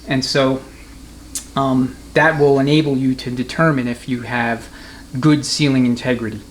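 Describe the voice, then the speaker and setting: Male mechanic-instructor, medium pitch, matter-of-fact and off the cuff, recorded in a workshop with noticeable noise. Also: steady tone, normal speed. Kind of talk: unscripted hands-on technical demonstration. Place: transmission workshop.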